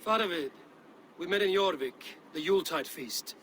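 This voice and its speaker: An actor playing a bold, proud Viking warrior in a dramatic game cutscene. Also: male voice, high voice, expressive, moderate pace, a bit bright, slight background noise. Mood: composed.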